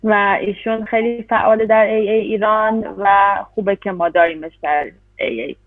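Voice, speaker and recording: A woman interpreting a talk, rushing through phrases with hesitant fillers between them. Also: mid-range voice, dry recording. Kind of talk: consecutive interpretation. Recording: dry, tinny phone-like sound.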